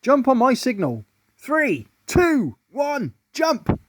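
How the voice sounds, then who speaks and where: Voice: sits high, huge pitch swings, slow, no echo, voice pushed up, exaggerated voice. A storyteller voicing Daddy, a devious male garden bug, in a sly, gleeful tone. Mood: scheming.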